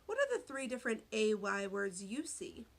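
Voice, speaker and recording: A woman teacher giving a read-aloud phonics lesson to young children, speaking deliberately, spelling out words and asking questions. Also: a little high, very animated, gravelly. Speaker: elementary school teacher.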